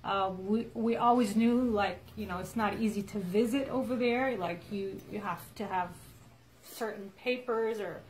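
A woman's voice: mid-range voice, normal speed, a little mellow, slight background noise, poor audio. A woman in her forties from Egypt, a guest telling her story in casual chat, earnest, with frequent restarts. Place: small indoor room with a wall chart.

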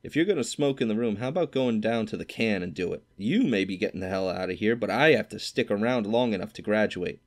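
A man voicing a cocky, irritable teenage boy roommate who swears and scolds loudly. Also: lowish voice, expressive, fast talker, hardly any pauses, close to an everyday voice. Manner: loud, exasperated, swearing.

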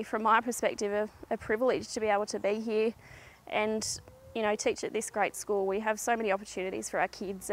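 A woman with an Australian accent and a slightly high voice. She speaks like a teacher introducing a place to visitors, informally and straight to camera. She is recorded outdoors on a clip-on mic. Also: fairly bright tone. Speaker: high school agriculture teacher. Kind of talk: informal virtual tour presentation to camera. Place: outdoors on a school farm. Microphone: clip-on lapel mic.

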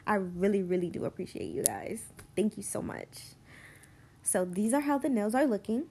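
A woman's voice: mid-range voice, expressive, moderate pace, warm tone, slightly rough. A young woman with a stuffy, congested voice, rambling casually with lots of fillers as she talks through a nail tutorial.

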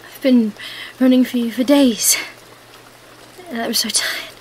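A woman's voice: fairly high pitch, fairly even intonation, a little slow, a little hiss or hum, close to an everyday voice, poor audio. A woman playing a cunning female vampire who feigns weakness, in an ASMR roleplay. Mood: weary, resigned.

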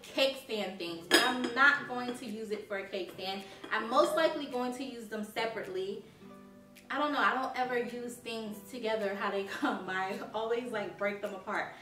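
A young woman from Haiti chatting casually to her viewers as she shows off home decor, with a bubbly manner and lots of "like"s. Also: a little high. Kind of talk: casual haul video. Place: living room, seated on a sofa. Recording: roomy sound from a distant mic.

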